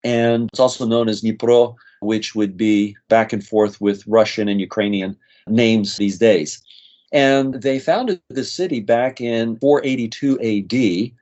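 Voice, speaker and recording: A man's voice: fairly low pitch, unhurried, highs cut off. Chatty middle-aged American man. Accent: slight American accent. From United States. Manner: relaxed, chatty with frequent "uh" fillers. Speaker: guest, nurse practitioner in dermatology. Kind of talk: conversational interview storytelling.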